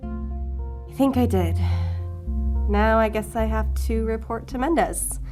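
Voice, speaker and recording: Audio drama investigator, female: higher voice, earnest and strained, halting delivery, music underneath. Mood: subdued. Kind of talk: naturalistic audio drama acting.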